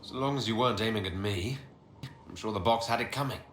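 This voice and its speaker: Game role: steady commanding hero acted by a man, lowish pitch, dry and blunt, roomy echo. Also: quick pace, close to an everyday voice. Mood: dry, concerned. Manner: controlled, dry, direct. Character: steady, blunt, dry-witted heroic leader. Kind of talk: dramatic video game acting. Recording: roomy echo, clear background noise.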